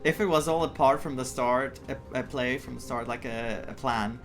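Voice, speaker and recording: An excitable streamer comments casually on a story game. The voice sits at a middle pitch and leans dramatic, repeating phrases for emphasis, with faint game music behind. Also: normal speed. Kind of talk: casual gameplay reaction commentary.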